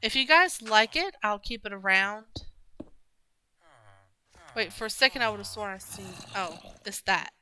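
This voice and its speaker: A woman's voice: mid-range voice, expressive, a little slow, pauses often, pushed and bright, rough recording. A young woman chatting casually and rambling while she plays a game on stream, with many restarts and fillers. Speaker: gaming streamer.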